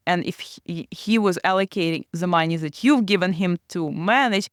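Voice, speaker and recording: A woman's voice: medium pitch, no room sound, studio quality. A woman finance professor co-hosting a casual academic discussion, explaining with everyday comparisons.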